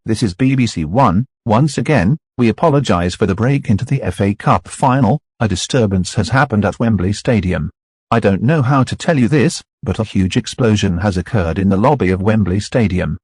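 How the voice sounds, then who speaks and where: Male announcer reading a scripted emergency bulletin, formal and steady, kinda husky, dry close sound. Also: on the low side, moderate pace, no echo. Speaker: broadcast announcer.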